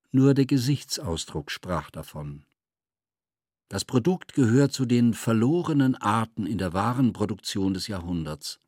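A man narrating literary prose from a script in a measured, precise manner. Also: mid-range voice, darkish tone, no echo, clean studio sound.

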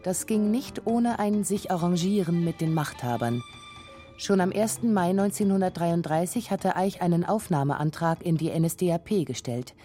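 A woman narrating a scripted radio feature in a sober, measured manner. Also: on the low side, lively intonation, mellow, soft background music. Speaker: documentary narrator.

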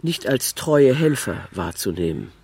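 A man reading scripted literary narration in a deliberate, matter-of-fact manner. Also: mid-range voice, big pitch swings, gravelly, a little mellow, slight background noise.

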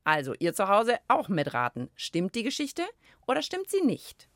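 A woman's voice: medium pitch, wildly swooping pitch, normal speed. A woman hosting a children's podcast in a studio, chatting playfully and explaining hands-on experiments in an encouraging way.

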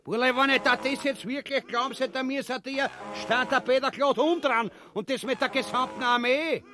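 A man's voice: extremely high pitch, moderate pace. An actor playing a vulgar, cowardly, bombastic king in a grotesque comedy, blustering and whining with an Austrian accent. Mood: indignant bullying. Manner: blustering, whining, swooping between mutters and bellows. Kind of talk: grotesque comic radio-play acting.

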